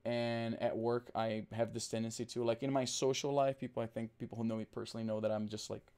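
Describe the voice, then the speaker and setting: A man from Brazil whose first language is Portuguese, chatting casually on a livestream and reading out chat, rambling with restarts and fillers while talking deliberately slower. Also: medium pitch, level tone, darkish tone, smooth. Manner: trained to talk slower on camera.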